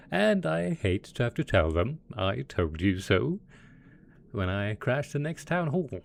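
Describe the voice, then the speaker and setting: A man's voice: on the low side, creaky, slight background noise, heavily acted. A man playing a gleeful, smug and possessively doting mad scientist supervillain boss in a theatrical, playful roleplay audio drama. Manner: theatrical, playful, mock-innocent, chuckling.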